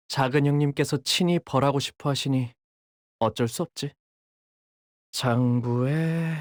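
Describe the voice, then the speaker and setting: An actor voicing a coolly menacing young male gangster underling in a game, measured and sardonic, deferential to his bosses. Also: fairly high pitch, no echo, studio quality, close to an everyday voice. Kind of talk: Korean game character voice lines.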